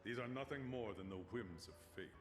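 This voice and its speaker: A man's voice: medium pitch, brisk, natural voice. An actor playing a solemn, formal middle-aged master swordsman in a video game. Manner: formal, grave. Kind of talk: video game cutscene voice acting. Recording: long hall reverb, music behind.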